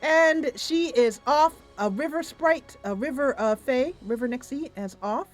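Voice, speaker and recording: A woman streaming a roleplay game, narrating the story and chatting casually with viewers in an animated storytelling manner. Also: sits high, a little slow, faint music behind.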